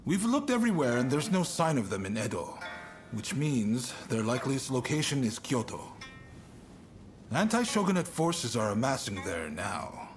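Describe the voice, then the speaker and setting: An actor playing a composed samurai-era leader who gives out missions, in scripted game cutscene style. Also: male voice, medium pitch, huge pitch swings, moderate pace, over music. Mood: businesslike.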